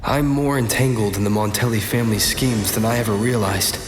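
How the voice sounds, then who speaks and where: A man's voice: lowish voice, a little fast. A man voicing a thoughtful, cautious young adventurer in a video game, musing to himself in a reflective, understated way with reverb. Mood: pensive. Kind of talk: scripted video game inner monologue. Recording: echoey voice with background music.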